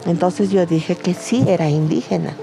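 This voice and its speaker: A woman's voice: fairly low pitch, normal speed, creaky, music in the background. A woman tells her story with affectionate diminutives. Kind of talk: documentary interview.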